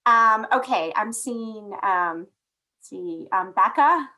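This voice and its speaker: A woman's voice: mid-range voice, lively intonation, slow, pushed and bright, rough recording. A woman hosting a video call meeting, calling on people in turn with many fillers. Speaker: meeting host.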